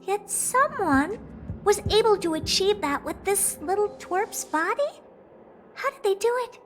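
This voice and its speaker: A woman's voice: very high-pitched, lively intonation, unhurried, soft background music. A woman voicing a haughty, blunt, self-important female genius who owns a space station, in an English video game dub. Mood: fascinated, curious. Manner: haughty, blunt, dismissive, easily intrigued.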